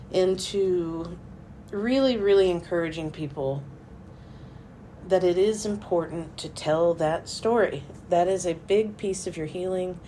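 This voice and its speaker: A woman hosting a solo faith-based podcast, talking earnestly and encouragingly while hoarse from losing her voice. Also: lowish voice, dark tone, slight background noise. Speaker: podcast host for a small publisher.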